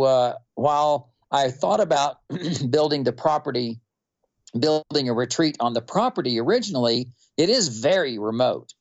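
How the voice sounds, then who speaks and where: A man from North Texas with a Texan accent, telling stories in a relaxed, unhurried interview with many fillers. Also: medium pitch, lo-fi sound. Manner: unhurried, rambling storyteller with fillers. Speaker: quilter and musician guest.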